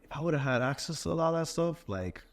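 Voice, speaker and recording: A man in his thirties co-hosting a podcast, chatting in a quick, reflective conversational way. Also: a little high, animated. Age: 30s. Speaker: podcast co-host. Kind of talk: casual interview chat.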